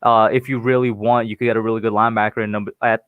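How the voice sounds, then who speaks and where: A man's voice: lowish voice, level tone, racing, clean tone, no echo, phone-quality sound. Man in dry, casual sports chat. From Guyana. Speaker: sports stream panelist.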